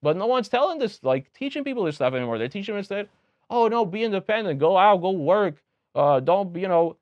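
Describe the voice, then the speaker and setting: A man's voice: sits high, sing-song, fairly quick, a little mellow, no echo. A young man with a Romanian accent, a guest candidate talking opinionatedly in a casual interview, with many restarts. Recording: dull lo-fi sound.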